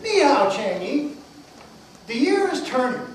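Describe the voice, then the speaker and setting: A woman's voice: sits high, very animated, unhurried. A woman playing a proud, competitive, easily puzzled tiger in an amateur puppet show, speaking in a theatrical manner. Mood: solemn, wondering. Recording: echoey noisy room, far from mic.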